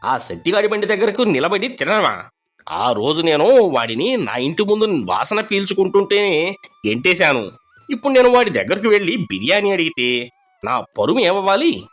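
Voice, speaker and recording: An actor playing a proud, older rich villager in an animated moral story, with a dramatic, exclamatory manner. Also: medium pitch, moderate pace. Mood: indignant, haughty.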